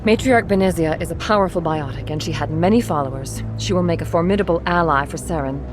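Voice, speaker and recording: A measured, diplomatic female councilor, voiced by an actress, with a slightly low voice. Her speech is calm and composed. Game music plays behind her. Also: natural voice.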